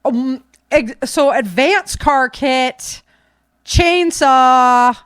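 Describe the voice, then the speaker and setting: A middle-aged American woman from Michigan chatting informally as a podcast host, telling stories in an animated, slangy way. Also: high voice, very slow, smooth, dry recording, studio quality. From Michigan, United States. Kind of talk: casual conversational podcast chat.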